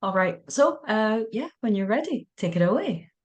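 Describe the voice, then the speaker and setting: A woman's voice: mid-range voice, sing-song, normal speed. A woman introducing a guest speaker on a teaching webinar over a video call, with frequent fillers. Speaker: webinar moderator.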